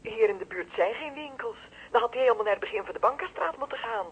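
A woman's voice: on the high side, lively intonation, quick pace, husky. An actress playing a watchful, deferential female neighbour in a radio play, hesitant yet insistent, with a Netherlandic Dutch accent, heard through a telephone filter. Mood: worried, insistent. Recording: muffled, band-limited telephone line.

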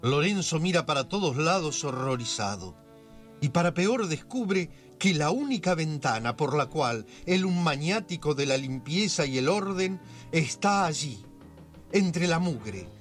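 A man narrating a scripted radio drama as a measured, theatrical storyteller. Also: fairly high pitch, very animated, faint music behind. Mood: storytelling.

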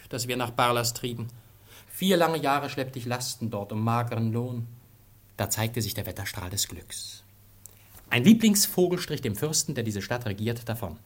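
An actor playing a proud, impetuous, vengeful young exiled prince in a declamatory, poetic German radio play. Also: male voice, medium pitch, close to an everyday voice. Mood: grave, recounting. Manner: declamatory, poetic, emphatic delivery.

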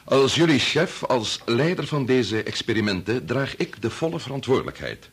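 Radio play: conscience-torn middle-aged scientist and father, deliberate and solemn, somewhat rough-edged voice with expressive swings. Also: medium pitch. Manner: deliberate, hesitant, formal. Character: grave, conscience-torn male chief bacteriologist, father and husband. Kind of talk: Dutch radio play acting.

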